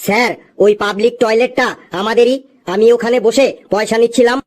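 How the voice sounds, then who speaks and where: A woman's voice: on the high side, steady tone, a little fast, a little mellow, natural voice. A woman voicing a cheeky, literal-minded schoolboy in a comedy cartoon, answering back in a matter-of-fact way.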